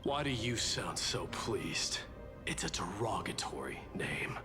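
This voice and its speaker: A man's voice: deep voice, very animated, takes it slowly, heavily acted. A man voicing a proud, scornful demigod warrior king in a dramatic video game, speaking in a grand, solemn and taunting way. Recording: loud music under the voice. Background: game music.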